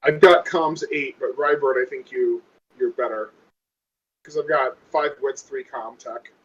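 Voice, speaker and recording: A man's voice: mid-range voice, steady tone, measured pace, fairly bright tone, husky. A man chatting casually as a player at a tabletop game. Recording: dull, lo-fi voice recording.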